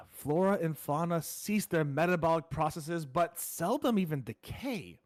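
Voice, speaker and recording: A man's voice: a little high, no echo. A man running a tabletop story game on a voice call, explaining the rules casually and reading the world lore in a solemn storybook tone. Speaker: game facilitator.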